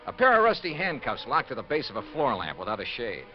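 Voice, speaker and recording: Wry, animated radio detective. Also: male voice, mid-range voice, quick pace. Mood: wry narration. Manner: clipped, dry, exasperated with others. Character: brisk, wry, impatient male insurance investigator, narrating his case. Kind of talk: 1950s radio detective acting and narration. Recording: muffled old radio recording. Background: music.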